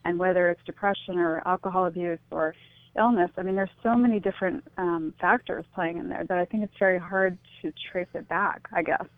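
A woman's voice: lowish voice, steady tone, fairly quick, no room sound. Lady guest on a radio interview, sounds like phone audio, thoughtful and kinda tentative chatty way of talking. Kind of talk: reflective interview conversation. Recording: thin, phone-like call-in line.

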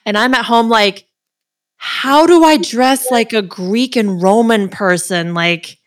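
A woman's voice: on the high side, takes it slowly. A woman with an American accent, hosting a casual conversational interview and sharing her own anecdotes. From United States, Ohio. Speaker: interview host.

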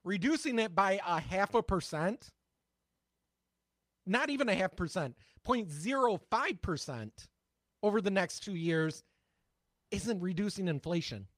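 A man co-hosting a political talk podcast in a high, fairly light voice. He speaks bluntly and mocks what he hears, swearing freely. The recording is dry and close. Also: big pitch swings, a little slow, pauses often, fairly bright tone, dry recording. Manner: blunt, profane, sarcastic commentary. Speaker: podcast co-host. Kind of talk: casual political chat.

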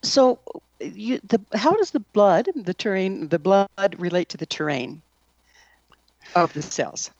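A man's voice: high-pitched, animated, unhurried, no room sound, muffled recording. A man interviewing conversationally, thoughtfully building long, leading questions.

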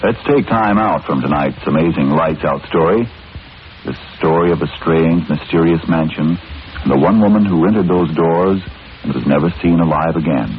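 A man announcing and advertising in the style of 1940s radio. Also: low voice. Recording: muffled, hissy old radio recording.

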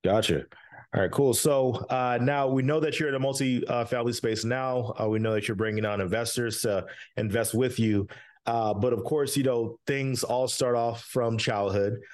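Male podcast host, casual, lots of uh's, mid-pitched voice. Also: normal speed. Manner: relaxed, uses many fillers, eager interjections. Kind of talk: casual interview.

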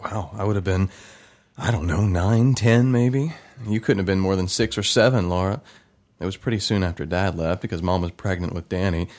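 A man voicing a grown son, thoughtful and wistful, recalling his childhood in a drama. His storytelling is unhurried and conversational. It sounds like a plain, everyday male voice. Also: deep voice, darkish tone, natural voice. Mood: reflective. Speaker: narrator. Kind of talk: reflective dramatic narration.

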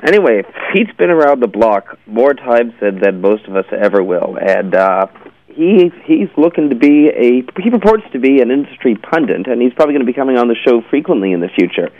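A male show host on slightly muffled, lo-fi audio, chatting casually with a guest. His pitch moves up and down a lot, and his phrasing is hesitant. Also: big pitch swings, a little fast. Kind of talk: casual interview.

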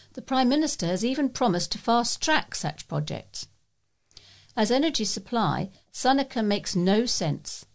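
A woman's voice: mid-range voice, a little mellow. British woman reading out local news and letters, volunteer newsreader style.

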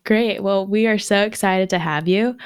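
A woman's voice: mid-range voice, normal speed, smooth, dry recording, clean studio sound. A young woman hosting a student podcast, reading an introduction and welcoming her guest in an upbeat way. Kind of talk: scripted show introduction and interviewing.

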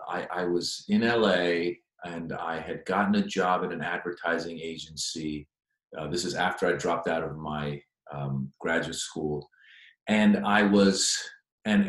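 A deep-voiced man in his forties, from Iran and speaking with an American accent, tells his story as a podcast guest. He rambles, restarts and fills gaps with "uh" and "um". His voice carries the echo of a roomy space. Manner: rambling, many fillers and restarts. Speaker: podcast guest, stand-up comedian. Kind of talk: casual interview chat.

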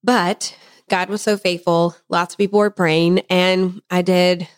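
Chatty, slightly hoarse woman. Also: on the low side, little pitch movement, unhurried, dry recording, clean studio sound. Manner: chatty, talkative, laughs easily. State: slightly hoarse, recovering from laryngitis. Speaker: podcast co-host. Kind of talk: casual chat.

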